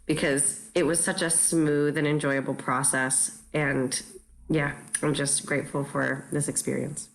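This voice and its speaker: Female director, very low creaky voice, earnest and casual in a panel talk. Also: level tone, unhurried. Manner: earnest, grateful. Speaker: theatre director.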